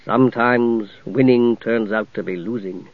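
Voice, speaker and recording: A man voicing the ghost of a solemn old knight, speaking slowly and hushed in riddles. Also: mid-range voice, dry recording, pitched below the performer's own voice, lightly acted. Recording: dull, dry recording.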